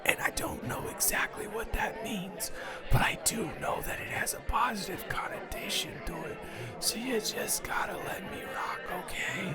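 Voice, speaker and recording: A man's voice: sits high, lively intonation, normal speed, whispered, over loud music, a loud crowd. A man playing a tipsy, stubborn male roommate at a party, rambling and repeating himself in an improvised audio roleplay. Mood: hushed, pleased. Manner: rambling, tipsy, dragged-out and repetitive. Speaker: audio roleplay performer, channel creator.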